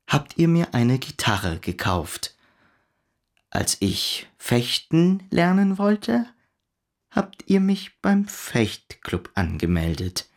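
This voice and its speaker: An actor playing a bitter young man who slowly, haltingly recites a reproachful farewell letter in a radio drama. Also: mid-range voice, heavily acted.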